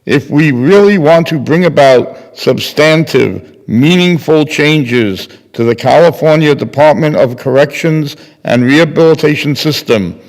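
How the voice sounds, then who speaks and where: A man's voice: a little high, a little slow, hardly any pauses, a little mellow. An older man playing a solemn prisoner representative, formally reading a declaration in readers theater. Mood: solemn, deliberate. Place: large temple hall, at a lectern. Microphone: microphone on a stand at lectern. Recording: reverberant hall recording.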